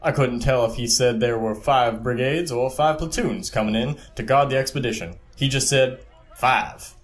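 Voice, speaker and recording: An actor playing a dutiful army captain in an audio drama, polite and slightly unsure. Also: male voice, medium pitch, normal speed, natural voice. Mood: polite, puzzled.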